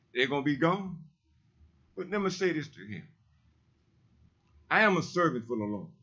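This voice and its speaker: Loud heavy-voiced man, emphatic. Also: a little high, dull audio. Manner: emphatic, repetitive, preacherly insistence. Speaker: resident speaking at public comment. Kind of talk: public comment at a council meeting.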